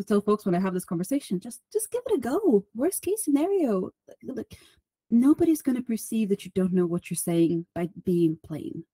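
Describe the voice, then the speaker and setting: A Bulgarian-born woman talks through ideas as a podcast guest, chatty and self-deprecating, looping back on herself with fillers. Her voice is mellow and relaxed, recorded close and dry. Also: on the high side, husky, dry recording, poor audio. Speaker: podcast guest, solutions engineer. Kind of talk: conversational interview.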